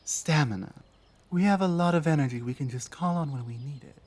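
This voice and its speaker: A man playing an earnest, honorable orc fugitive in an ASMR roleplay, speaking in a hesitant, halting, humble way. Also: fairly high pitch, a little mellow, natural voice. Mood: earnest, reassuring. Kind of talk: ASMR roleplay audio drama.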